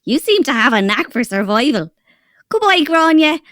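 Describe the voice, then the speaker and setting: Tough young robber girl, Irish, high and very animated, dry close sound, children's audio drama. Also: moderate pace, no echo. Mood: excited, challenging.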